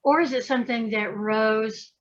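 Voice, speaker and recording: A woman's voice: fairly high pitch, steady tone, normal speed, muffled recording. A woman guest in a casual conversation, talking animatedly and acting out imagined voices as she makes her points. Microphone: video call.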